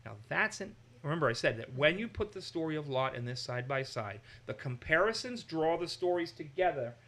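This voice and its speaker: A man's voice: fairly high pitch, a little fast. A man from Massachusetts, teaching a conversational Bible study and animatedly acting out the quotes. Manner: animated, acts out quotes in raised voices.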